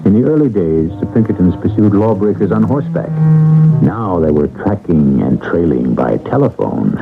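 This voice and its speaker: A man's voice: low voice, expressive, moderate pace, creaky. A man hosting a radio drama, delivering dramatic scripted narration between acts. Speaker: host and narrator. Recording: narrowband old radio broadcast, loud music.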